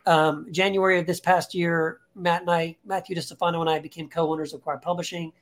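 A man's voice: a little high, level tone. A man, a podcast guest and author, talking casually and earnestly in an interview, often restarting his sentences, into a podcast microphone. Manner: earnest, reflective, speaks in halting restarts.

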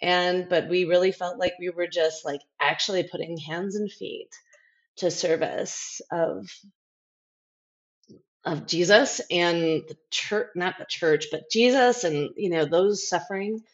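Woman, middle-aged, lowish voice. Candid interviewee, halting and unfinished phrasing. Muffled, lo-fi capture. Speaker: interview guest, former overseas aid worker.

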